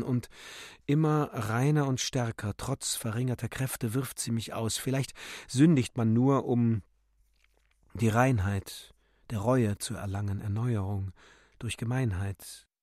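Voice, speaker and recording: A man narrates literary radio drama at an unhurried, slow pace. His voice sits in the middle range and his manner is solemn and measured. The recording is dry, with hardly any room on it. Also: mid-range voice, fairly even intonation, darkish tone, no echo.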